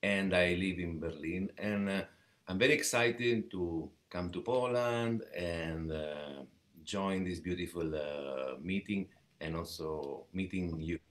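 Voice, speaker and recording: A man with an Italian accent giving a halting, informal introduction of himself. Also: low voice, a bit bright. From Italy. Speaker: festival teacher.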